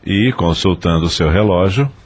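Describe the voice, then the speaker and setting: A man's voice: low-pitched, expressive, a little slow. A man reading scripted narration for a dramatized audio novel.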